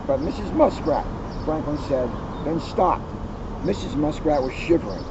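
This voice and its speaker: Higher-voiced man reading a storybook outdoors. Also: sing-song, moderate pace, vocal fry. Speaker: police officer reading a children's book aloud. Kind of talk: storybook read-aloud. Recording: dull lo-fi outdoor recording, traffic noise.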